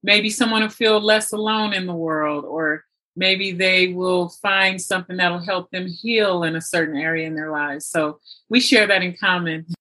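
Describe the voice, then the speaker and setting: A woman's voice: on the low side, fairly even intonation, normal speed, sharp tone, rough recording. A woman hosting a conversational interview in an enthusiastic, affirming manner. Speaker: podcast host and novelist.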